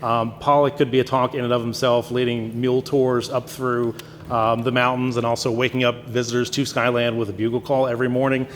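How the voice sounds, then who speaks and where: A man's voice: mid-range voice, level tone, fairly quick, a little hiss or hum. A man from Virginia's Shenandoah Valley giving a conference talk with a stuffy nose, speaking conversationally with many fillers and restarts. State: stuffy nose from sinus infection. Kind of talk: lecture presentation. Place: conference hall. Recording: long hall reverb, faint ambience.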